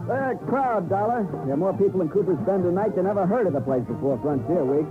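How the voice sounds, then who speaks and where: Loud music behind a tinny old radio recording. a chummy, boastful small-town man in 1950s radio drama, higher voiced and quick-talking. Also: expressive. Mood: gleeful, boastful.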